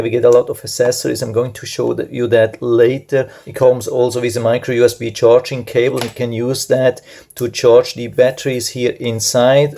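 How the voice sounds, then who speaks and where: A man's voice: medium pitch, creaky, a little mellow, slight background noise. A man giving an unscripted hands-on product demonstration, explaining step by step in a repetitive way. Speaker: product reviewer.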